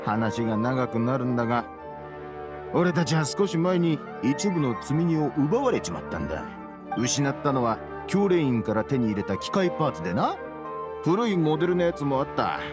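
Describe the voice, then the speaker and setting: A man playing an earnest, aggrieved caravan merchant in an anime game, with gruff colloquial wording and emphatic delivery. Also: medium pitch, sing-song, moderate pace, natural voice. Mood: troubled. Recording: game music behind the voice.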